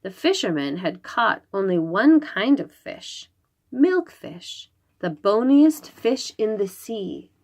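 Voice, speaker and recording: Very slow, expressive woman storyteller. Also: mid-range voice. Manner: dramatic, draws out words. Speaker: storybook reader. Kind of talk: expressive children's read-aloud narration.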